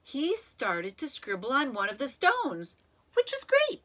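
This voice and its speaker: A woman reading a children's story aloud in an expressive, animated way, with dramatic emphasis and drawn-out words. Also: high voice. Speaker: storybook reader.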